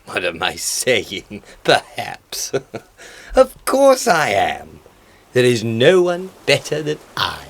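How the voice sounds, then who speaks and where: A man's voice: mid-range voice, wildly swooping pitch, takes it slowly, dry recording, full character voice. A man playing a boastful, self-important male dodo in a radio play, pompous and theatrical. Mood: vain, exultant.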